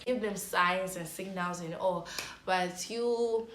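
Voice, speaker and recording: A woman's voice: lowish voice, takes it slowly, heavy background noise. A young woman from Zambia chatting to camera as a vlogger, rambling casually with lots of fillers and restarts. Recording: echoey room with music behind. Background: clear background music.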